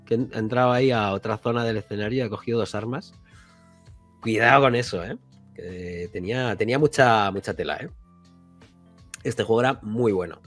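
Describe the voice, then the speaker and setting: Low-voiced Spanish man chatting casually. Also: a little fast, long pauses. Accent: Spain. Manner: colloquial, rambling, jokey. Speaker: podcast host. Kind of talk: casual chat about retro games. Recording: background music behind voice.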